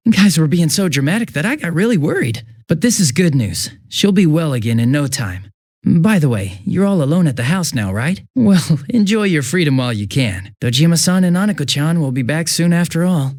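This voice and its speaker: A man voicing a young police detective in a video game, affable and easily flustered, with a casual, hesitant and excitable way of talking. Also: a little high, a little fast, dry recording, clean studio sound. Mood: friendly, reassuring. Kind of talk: English video game voice acting.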